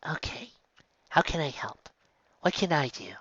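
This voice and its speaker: A woman's voice: deep voice, expressive, unhurried, fairly bright tone, breathy edge, dry recording, pitched above the performer's own voice, heavily acted. A man voicing an anxious, protective young mare who stammers when flustered. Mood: pleading. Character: worried, protective young earth pony mare. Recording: dull, lo-fi home recording.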